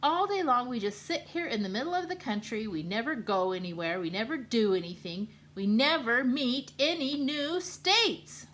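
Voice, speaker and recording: Woman playing a whiny storybook state, bored then eager, high voice, lively swings. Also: normal speed, hardly any pauses, a little hiss or hum.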